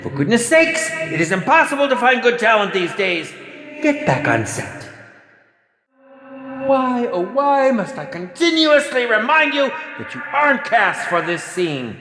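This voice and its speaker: Flamboyant high-voiced sadistic horror director. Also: male voice, sing-song, bright, forceful tone, roomy sound, in a large hall, faint music behind, exaggerated voice. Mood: exasperated, scolding. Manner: flamboyant, condescending, drawn-out theatrical delivery. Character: pompous, campy, sadistic male horror film director. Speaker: game announcer. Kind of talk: theatrical video game villain voice lines.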